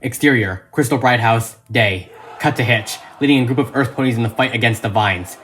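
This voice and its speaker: A man's voice: medium pitch, fairly quick, a bit bright, slight background noise. A man reading a script as dramatic narration, with emphatic, suspenseful delivery. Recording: music behind the voice.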